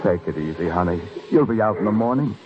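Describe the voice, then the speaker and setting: An actor playing an intense, anguished police detective with an urgent, clipped manner in an old radio crime drama. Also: male voice, fairly low pitch, expressive, fast, music in the background, lightly acted. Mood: tender, weary. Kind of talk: mid-century radio crime drama acting. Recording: muffled, hissy old radio recording.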